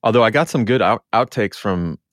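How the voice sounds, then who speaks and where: A man's voice: fairly low pitch, lively intonation, fairly quick, fairly bright tone, no room sound, clean studio sound. A man co-hosting a podcast, talking in a casual, conversational way. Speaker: podcast co-host.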